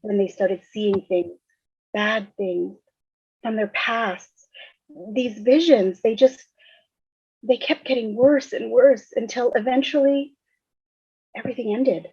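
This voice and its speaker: A woman acts a frightened teenage girl in a dramatic script reading. Her voice has a slightly husky edge and sits at a middle pitch. The sound is close and dry but muffled, as on a video call. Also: takes it slowly, pauses often, darkish tone, no room sound, heavily acted. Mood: grim, fearful. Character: frightened teenage girl facing a deadly curse. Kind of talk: dramatic table read over video call.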